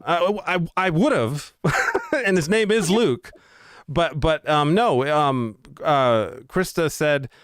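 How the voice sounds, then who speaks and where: A man's voice: fairly high pitch, lively intonation, slow pace, a bit bright, clear, resonant tone, no echo, clean studio sound. A man in his thirties co-hosting a casual chat, joking and laughing often. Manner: jokey, laughs often, drifts into movie quotes. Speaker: podcast co-host.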